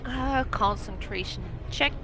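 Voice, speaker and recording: Higher-voiced woman playing a tabletop game, slow. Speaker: player in a tabletop roleplaying game. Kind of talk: casual game-table talk. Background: game background music.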